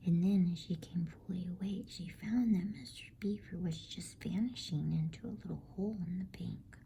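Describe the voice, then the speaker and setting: A woman reading a bedtime story in a hushed, breathy ASMR whisper. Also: on the low side, moderate pace. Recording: faint background noise.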